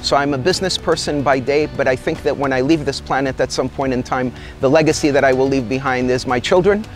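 A middle-aged man, an executive from Canada, talks steadily and thoughtfully, weighing his words as he gestures. His voice sits at an ordinary pitch, recorded close on a lapel mic outdoors, with soft music faintly underneath. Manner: measured, articulate, gestures while talking. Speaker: company executive. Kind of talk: corporate interview. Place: outdoor tropical garden.

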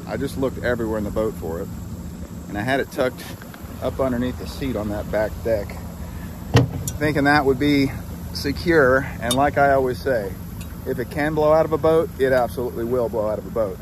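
A man's voice: medium pitch, brisk. A middle-aged man with an American accent, chatting casually and easily to the camera as he narrates a solo boating vlog. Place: outdoors on a small open boat on a river. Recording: loud boat engine and outdoor noise.

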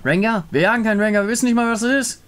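Man playing a gruff, irritable monster hunter. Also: high voice, racing, a bit bright, voice pushed up. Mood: alarmed. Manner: brusque, grumbling. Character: gruff, irritable male monster-hunting warrior. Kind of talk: fan voice-over of a game story.

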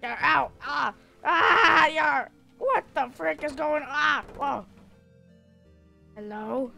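A high, piercing cartoon pirate fox, acted by a woman, lost and panicking. The delivery is frantic and exclamatory, with gravelly edges and pirate-like interjections, over faint music. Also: lively intonation, takes it slowly, exaggerated voice. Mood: distressed, bewildered.

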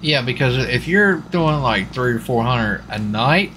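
A man's voice: mid-range voice, expressive, unhurried, sharp tone, slight background noise. A man in casual roleplay game chat who rambles and repeats himself. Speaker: player in online roleplay game.

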